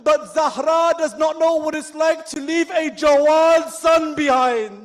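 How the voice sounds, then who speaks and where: A man's voice: very high voice, a little slow. A man reciting a mournful religious sermon, telling the story with emotion that rises to anguished pleading. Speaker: majlis reciter.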